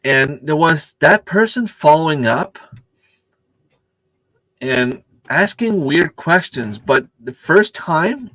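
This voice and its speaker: A man's voice: medium pitch, a bit bright, no echo, poor audio. A man whose first language is French, hosting a casual live stream. He searches for words, restarts his sentences and answers viewer comments as they come in. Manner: hesitant, searching for words with many fillers and restarts.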